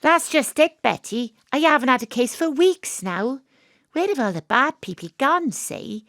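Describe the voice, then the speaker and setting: An actress playing a wry, world-weary private detective, narrating and talking in an audio drama. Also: female voice, high-pitched, lively intonation, a little slow, fairly bright tone, no echo, clean studio sound, full character voice. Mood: glum, plaintive. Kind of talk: audio drama dialogue and noir-style narration.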